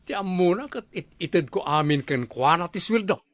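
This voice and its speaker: A man's voice: high voice, lively intonation, moderate pace, no echo. A man playing an easygoing, hard-drinking husband full of excuses in a comedy drama, with an Ilocano accent. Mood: self-justifying.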